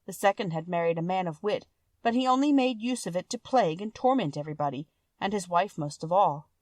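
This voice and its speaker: A woman's voice: fairly low pitch, animated, fairly quick, a little mellow, no echo. Woman narrating a storybook, very dry sound.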